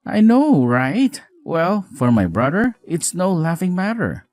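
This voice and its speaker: A man acting as a newlywed husband in an audio story drama, with a widely swinging, animated pitch. He sounds earnest, apologetic and weary yet caring, with music underneath. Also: takes it slowly, no echo, full character voice. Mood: exasperated.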